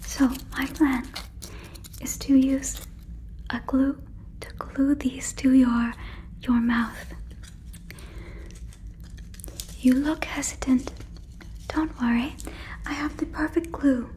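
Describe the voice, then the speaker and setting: A woman's voice: high-pitched, fairly even intonation, slow pace, long pauses, mellow, a little hiss or hum, low-quality recording. A woman voicing a friendly, naive female alien in an ASMR roleplay, whispering breathily and very close to the mic with soothing, stilted, formal phrasing. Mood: apologetic, blunt. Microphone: fluffy microphone, very close.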